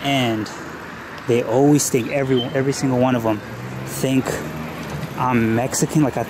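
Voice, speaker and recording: A man's voice: medium pitch, fairly even intonation, moderate pace. A young man from Los Angeles talking casually to the camera while riding a bike, rambling with restarts and a little out of breath. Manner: rambling, many restarts and fillers; riding a bike one-handed, short of breath. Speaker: travel vlogger. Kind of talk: casual vlog narration while cycling. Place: city streets outdoors. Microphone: camera held at arm's length. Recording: loud street and traffic noise.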